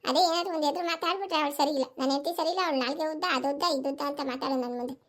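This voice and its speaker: Woman playing an overworked cartoon housewife, sharp-tongued, high-pitched, very rapid, rural southern Karnataka accent, full of complaints. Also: natural voice. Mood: indignant, sarcastic. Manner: scolding, complaining, rhetorical questions. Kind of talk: family cartoon dialogue.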